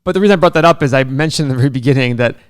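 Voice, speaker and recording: A man's voice: mid-range voice, smooth, no echo, clean studio sound. A man born in Iraq co-hosting a podcast, joking and teasing in casual banter and laughing often. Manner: joking, quick to laugh, playfully skeptical. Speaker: podcast co-host.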